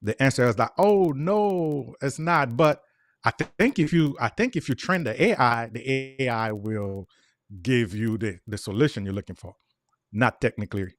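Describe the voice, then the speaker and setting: A man's voice: medium pitch, lively intonation, moderate pace, dry recording. A man in his forties from Haiti with a thick Haitian Creole accent, explaining earnestly as a guest in a casual chat and repeating words as he goes.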